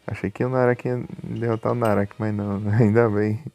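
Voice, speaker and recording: A man's voice: fairly low pitch, level tone, brisk, creaky, a little mellow, no echo, faint music behind. A man with a Brazilian accent giving casual, chatty live commentary as a video game streamer, thinking aloud informally.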